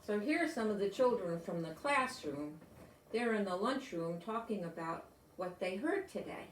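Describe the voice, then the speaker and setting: An older woman with an American accent reading a children's story aloud, deliberately and explaining things as if to young listeners. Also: mid-range voice, lively intonation, slight background noise. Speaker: storybook reader. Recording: roomy echo, distant from microphone.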